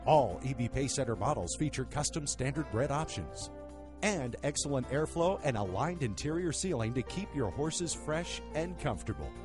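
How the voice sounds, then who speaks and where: A male announcer reads a scripted commercial with lively intonation. His delivery is smooth and measured, with music playing underneath. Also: mid-range voice.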